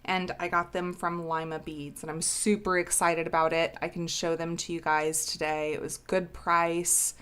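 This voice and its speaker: A woman's voice: lowish voice, level tone, normal speed, hardly any pauses, a bit bright, clear, resonant tone. A woman hosting a casual craft live stream, bubbly and sniffly from a cold, greeting viewers by name.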